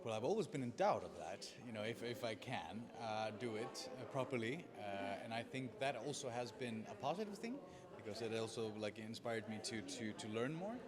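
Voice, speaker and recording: A man's voice: medium pitch, lively intonation, a little fast, few pauses. A man from Iceland, an actor, speaking thoughtfully and hesitantly. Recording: crowd chatter behind.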